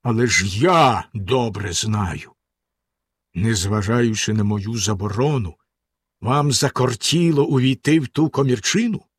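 A man voicing a cruel, cold husband who speaks slowly and sternly with menace. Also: mid-range voice, expressive, breathy edge, dry recording. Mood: menacing. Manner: slow, stern, threatening delivery.

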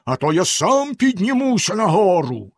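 A man voicing a cruel, cold husband who speaks slowly and sternly with menace. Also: high voice, very animated, dry recording, clean studio sound, voice pushed up, heavily acted. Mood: bellowing. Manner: slow, stern, threatening delivery.

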